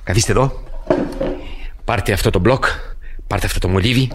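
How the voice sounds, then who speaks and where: An actor playing an anxious, desperate man who keeps pleading, with a husky voice, in an old radio play. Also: fairly low pitch, heavy background noise, natural voice. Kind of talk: Greek radio theatre acting.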